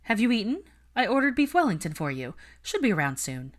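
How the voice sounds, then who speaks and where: A woman voicing a cheeky, breezy female friend from a story, brisk and upbeat. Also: medium pitch, very animated, pitched above the performer's own voice, natural voice.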